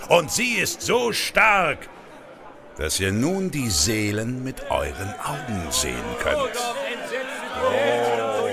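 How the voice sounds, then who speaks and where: A man's voice: medium pitch, wildly swooping pitch, takes it slowly, creaky, over music, exaggerated voice. A man acting a giant, mighty false prophet in a German radio drama, soothing and seductive in a grandiose way. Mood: ecstatic, seductive.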